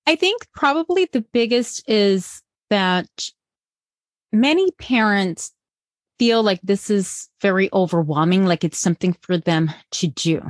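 A woman from Colombia talks as a podcast guest about raising bilingual children. She speaks in an earnest, encouraging way and often restarts her sentences. Her voice sits at a middling pitch, and the recording is dry and close. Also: animated, measured pace, dry recording. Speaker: guest, bilingual parenting educator. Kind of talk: podcast interview answers.

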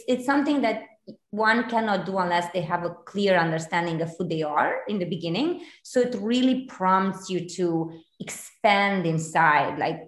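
A woman in her forties from Romania, a realtor guest in a casual interview, chatty with many fillers and restarts. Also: lowish voice. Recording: echoey room.

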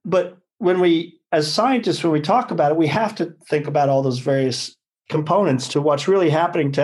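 A man's voice: fairly high pitch, darkish tone. A man, a nutrition scientist explaining in a rambling, self-correcting conversational way. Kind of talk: conversational expert discussion.

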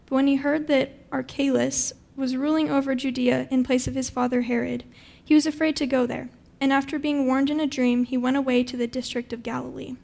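A woman reading scripture aloud in an even, careful way. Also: sits high, fairly quick, gravelly. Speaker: scripture reader.

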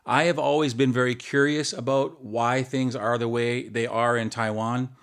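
A man's voice: medium pitch, steady tone, moderate pace, fairly bright tone. Male podcast host, mid-range voice, earnest, casual, a few restarts.

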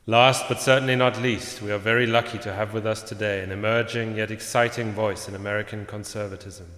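A man plays a polished academic moderator in a radio drama. He speaks formally and rather pompously in a low-leaning voice, ringing through a very echoey auditorium. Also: fairly even intonation, a little fast, a crowd in the background, noisy background, natural voice. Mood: formal, welcoming. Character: polished, courteous male professor moderating a panel. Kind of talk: formal introductions in a radio play. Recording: echoey auditorium with long reverb.

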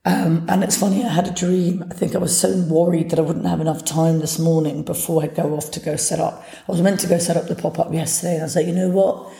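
A woman hosting a solo podcast, hoarse from having partly lost her voice, chatting in an upbeat, motivational way. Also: on the low side, little pitch movement, fast, mellow. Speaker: podcast host. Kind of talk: casual solo podcast talk. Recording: echoey room.

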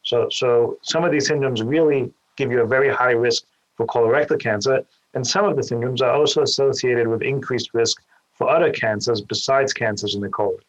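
A man's voice: mid-range voice, brisk, muffled recording. A man from Austria, a guest surgeon, who explains things carefully and often repeats a small word as he starts a point. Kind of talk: explanatory interview answers.

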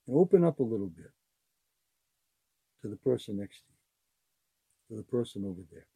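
Husky older man, earnest rambling host. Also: lowish voice, big pitch swings, fairly quick, mellow, no echo. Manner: earnest, rambling, repeats phrases. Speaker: podcast host. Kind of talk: unscripted heartfelt monologue.

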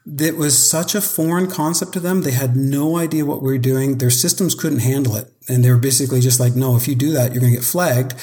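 A man's voice: steady tone, fairly quick, few pauses, dark tone. Hesitant man, searching for words. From Canada. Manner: hesitant and searching for words, repeats himself, sudden jumps up in pitch. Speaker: guest, company co-founder. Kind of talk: casual podcast conversation.

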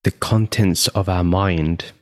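Male meditation guide, very low pitch, unhurried and calm delivery with long pauses, dry close sound. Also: dry recording.

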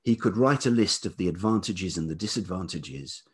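Older man, deep voice, husky texture, halting reflective storytelling. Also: animated. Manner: hesitant, halting, thoughtful. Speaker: podcast guest, writer and musician. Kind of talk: reflective personal storytelling in an interview.

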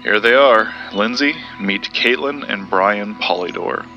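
A man performs a somber, haunted author in an audio drama. His voice is low but forward and bright. He speaks in a measured, understated manner, as an honest man would. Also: lo-fi sound, soft background music. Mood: gentle, easy.

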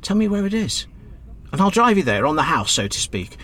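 An actor playing a chatty, good-hearted but easily exasperated British taxi driver in a radio drama. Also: male voice, high voice, sing-song, brisk, sharp tone, full character voice. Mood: kindly, coaxing. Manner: chatty, colloquial, calls passenger love.

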